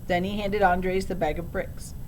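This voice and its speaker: A woman's voice: little pitch movement, moderate pace, darkish tone, poor audio. A woman reading a children's storybook aloud as narrator. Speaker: audiobook reader. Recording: low rumbling background noise.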